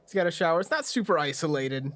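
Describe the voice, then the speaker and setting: A man with a fairly high voice acts as game master. He narrates a tabletop game in an improvised, conversational way. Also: animated, fast, a bit bright.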